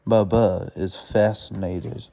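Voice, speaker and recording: A man reads a children's storybook aloud haltingly, stumbling over words and correcting himself as he goes. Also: fairly low pitch, steady tone, vocal fry, dark tone. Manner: stumbling, laboured reading with self-corrections.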